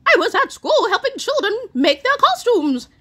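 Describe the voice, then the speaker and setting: A woman voicing a cheerful, literal-minded housekeeper in a storybook read-aloud, with squealing, drawn-out exclamations. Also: very high-pitched, very animated, pushed and bright, pitched above the performer's own voice, heavily acted. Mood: delighted.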